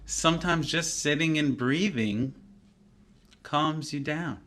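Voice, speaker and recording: A man's voice: on the high side, takes it slowly, a bit bright. A man who teaches music, narrating a story for young children.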